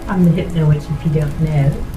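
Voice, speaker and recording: A woman playing a playful, boastful, slightly crazy witch hypnotist in an ASMR roleplay, speaking in a slow, coaxing, teasing manner. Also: low voice, steady tone, over music, close to an everyday voice. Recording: noisy recording in echoey room.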